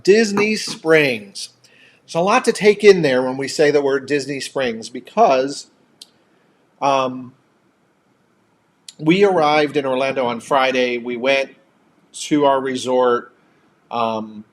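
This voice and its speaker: A man's voice: mid-range voice, slow pace, long pauses, a little hiss or hum. An American man co-hosting a casual live chat in a chatty, upbeat, playful manner. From upstate New York, United States. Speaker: host of a couple's travel live chat.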